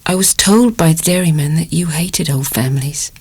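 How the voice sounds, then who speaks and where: A woman's voice: low-pitched, normal speed, a little mellow, slightly rough. An actress playing an anguished, tender young dairymaid in love in a dramatic audiobook, hesitant and impassioned as she hides a secret. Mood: nervous, halting.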